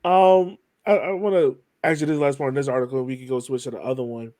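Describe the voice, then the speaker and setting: Guy hosting a podcast, medium pitch, dry sound, kinda circles around his questions casually. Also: steady tone, fast, darkish tone, dry recording. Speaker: podcast host, interviewer.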